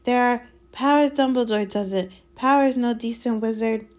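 A woman voicing a small, excitable male house-elf in a storybook, squeaky, reverent and fearful, speaking of himself in the third person. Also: normal speed, close to an everyday voice. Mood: fearful, urgent. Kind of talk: dramatised storybook reading.

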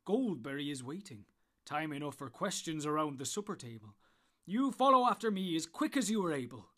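A man voicing a jolly, booming old man of the woods in an audiobook, with a loud rustic sing-song manner and drawn-out words. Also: big pitch swings, exaggerated voice. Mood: jovial. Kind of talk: audiobook character voice.

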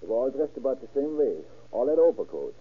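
An actor playing a hesitant, evasive man reporting a robbery in a 1930s radio drama, halting and unsure. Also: medium pitch, sing-song, slightly rough. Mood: nervous, evasive. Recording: tinny, narrow old radio recording.

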